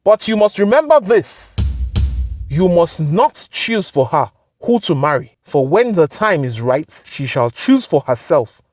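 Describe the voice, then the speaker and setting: A woman's voice: on the low side, big pitch swings, unhurried, darkish tone, faint music behind. A woman voicing a stern, solemn native priest in a folktale, speaking with weighty, commanding admonishment. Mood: stern warning.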